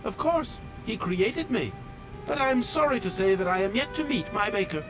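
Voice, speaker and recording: A man's voice: high voice, animated, normal speed, music in the background. A male actor voicing a fussy, polite automaton train engineer in a video game, with formal, precise, pedantic diction. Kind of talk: video game character dialogue.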